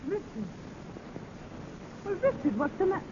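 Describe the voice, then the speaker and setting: A woman's voice: extremely high pitch, very animated, normal speed. Emotional young woman in old radio melodrama, thin tinny sound, noticeable hiss. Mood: anxious. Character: young married woman, emotional, torn between lovers. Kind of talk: 1930s-40s radio drama acting. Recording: narrow, noisy old radio broadcast.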